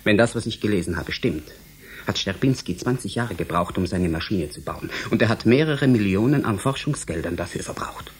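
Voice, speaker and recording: A man in a German radio drama playing a cautious, sceptical young scientist, measured and questioning, with a husky voice. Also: on the low side, expressive. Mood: doubting, deliberate. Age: young adult.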